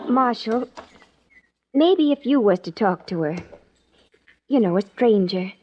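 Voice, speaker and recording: A woman playing a gentle, brave young pioneer wife in an old radio western. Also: fairly high pitch, expressive, takes it slowly. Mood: pleading. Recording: dull, hissy old radio recording.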